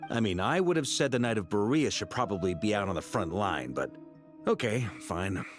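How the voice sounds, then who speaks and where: Guy voicing a laid back wry knight, lowish voice, game music faint behind. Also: expressive, normal speed, close to an everyday voice. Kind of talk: video game voice acting.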